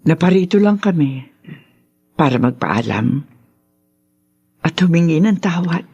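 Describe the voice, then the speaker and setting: Husky, pleading older mother. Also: low-pitched, lively intonation, measured pace, mellow, muffled recording, soft background music, exaggerated voice. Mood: remorseful. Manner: pleading, emotional, tearful. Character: remorseful older mother, possessive, pleading with her child. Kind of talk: Tagalog radio drama acting.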